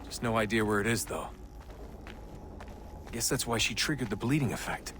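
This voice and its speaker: A man's voice: fairly low pitch, normal speed, a bit bright, slight background noise, close to an everyday voice. An actor playing a weary, sarcastic young man who sighs and answers dryly. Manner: dry, sighing sarcasm. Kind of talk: video game cutscene acting.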